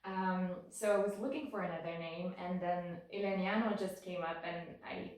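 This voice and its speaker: Woman, thirties, lowish voice, hesitant with ums, very echoey room, a bit off-mic. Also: moderate pace, darkish tone, far from the mic. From Belgium. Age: 30s. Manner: thoughtful, many ums and restarts. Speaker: guest. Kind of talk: casual interview answers.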